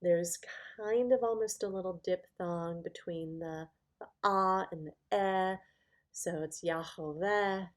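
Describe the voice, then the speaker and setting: Slow, lower-pitched woman teaching informally. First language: English. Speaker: Bible teacher presenting a lesson. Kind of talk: informal spoken lesson to viewers.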